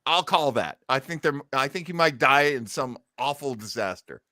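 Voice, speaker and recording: Bright, wry male podcast host. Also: medium pitch, lively intonation, normal speed, no room sound, studio quality. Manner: wry, joking, irreverent. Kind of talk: casual comic commentary on old radio.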